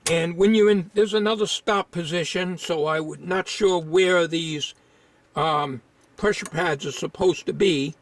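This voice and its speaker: A man giving an informal, unscripted hands-on repair demonstration, thinking aloud in a halting way. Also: fairly high pitch.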